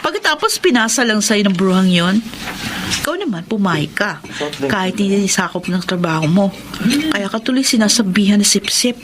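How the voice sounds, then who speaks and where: A woman's voice: medium pitch, lively intonation, moderate pace, crowd noise, lightly acted. An actress with a Filipino accent playing an indignant, protective female office friend in a radio drama, frank and disapproving. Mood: suspicious.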